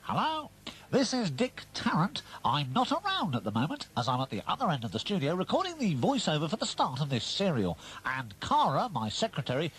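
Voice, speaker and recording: A man's voice: a little high, low-quality recording. A man giving a detective's answering-machine message in a comedy radio serial, mock-formal and sarcastic.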